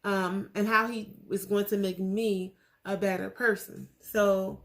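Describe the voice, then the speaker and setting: Earnest woman in her forties, vlogger; slow, gravelly, many pauses and fillers. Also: medium pitch. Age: 40s. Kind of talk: casual faith vlog.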